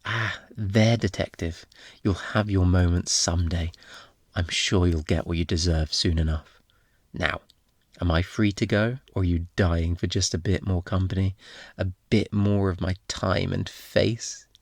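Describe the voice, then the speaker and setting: A man's voice: low-pitched, fairly even intonation, a little slow, no echo, clean studio sound. A man playing a smug, flirty master thief in an ASMR roleplay, taunting a detective in a teasing, mock-innocent, insinuating way.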